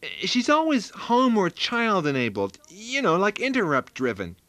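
A man acts a nerdy, oblivious computer-geek husband for radio comedy. The voice is high and sharp, with halting, awkward delivery. It swings animatedly. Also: wildly swooping pitch, no room sound, full character voice. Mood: awkward complaining. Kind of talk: radio sketch comedy acting.

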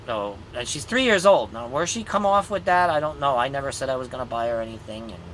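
Tired trucker grandpa, bright voice. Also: male voice, medium pitch, lively intonation, fast, a little hiss or hum, rough recording. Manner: rambling and easygoing, with many ums. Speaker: truck driver vlogger. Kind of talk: casual vlog update to viewers. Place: inside a truck sleeper cab. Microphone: phone camera at arm's length.

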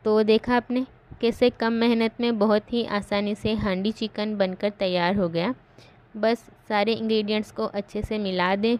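A woman host giving calm, step-by-step voice-over narration for a home cooking recipe. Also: mid-range voice.

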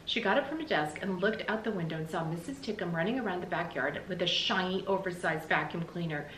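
A middle-aged American woman reading a children's story aloud in an animated, emphatic, explanatory way, like a teacher. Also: lowish voice, fairly quick. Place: small indoor room with bookshelves. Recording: roomy echo, faint background noise.

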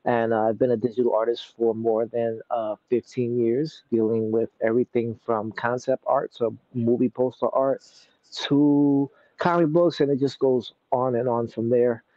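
A man in his thirties with a New York accent, chatting casually as an interview guest, relaxed and rambling with many fillers. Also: mid-range voice, moderate pace, no room sound, muffled recording. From Brooklyn, New York. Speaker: guest artist. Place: outdoors in a city courtyard.

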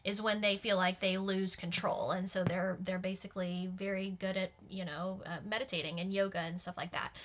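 A woman's voice: medium pitch, little pitch movement, a little fast, hardly any pauses, a little hiss or hum. A young woman giving casual commentary on a TV episode as a longtime fan. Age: young adult. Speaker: reaction show guest and longtime fan. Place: small cosy room indoors.

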